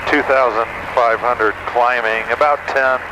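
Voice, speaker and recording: A man, a private pilot, making halting calls to air traffic control over aircraft radio. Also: medium pitch, steady tone. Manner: halting, with hesitations. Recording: very noisy small-plane radio.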